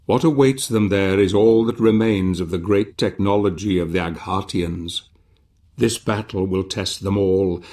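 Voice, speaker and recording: Low male voice, solemn game narrator, portentous chronicler, measured fantasy storytelling. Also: darkish tone.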